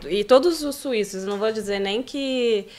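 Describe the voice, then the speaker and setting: A woman from Brazil hosting a casual live stream, chiming in with friendly, quick agreement. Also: on the high side, a crowd in the background. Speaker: host.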